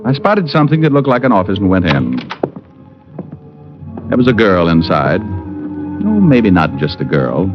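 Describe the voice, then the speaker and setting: A man's voice: low-pitched, wildly swooping pitch, a little slow, long pauses, full character voice. An actor playing a wisecracking, flirtatious private detective in a 1950s radio drama, dry and glib as he narrates and banters. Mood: wry narrating. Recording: muffled old radio broadcast with music.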